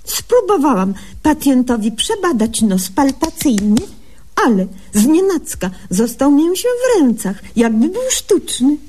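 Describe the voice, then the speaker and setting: A woman's voice: high voice, sing-song, a little slow, dark tone, slight background noise. An actress playing a pompous young woman doctor in a humorous radio sketch, with mock-literary, garbled diction. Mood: mock-solemn. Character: pompous, brisk young lady doctor narrating her diary.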